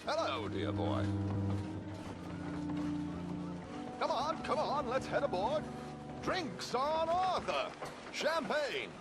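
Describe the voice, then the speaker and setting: A man playing a flamboyant, cultured, scheming gentleman con man in a video game cutscene, speaking with theatrical, florid charm and breezy confidence. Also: extremely high pitch, very animated, slow pace, loud music behind the voice, full character voice. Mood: effusive, showy.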